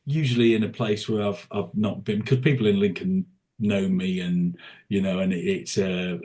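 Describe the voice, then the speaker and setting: A man with a lower speaking voice talks loosely and at length as a guest on a chat podcast. He keeps stopping and restarting his sentences and leans on fillers, but he always gets to his point in an easygoing way. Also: fairly even intonation, warm tone. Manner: rambling, halting, many restarts and fillers. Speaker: tribute singer and podcast guest. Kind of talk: casual interview chat.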